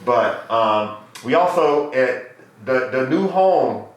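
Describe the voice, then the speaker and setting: A man's voice: mid-range voice, expressive, slow pace. Laid-back man hosting in an echoey room. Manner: loose, joking, slangy banter. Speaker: podcast host. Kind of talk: casual interview chat.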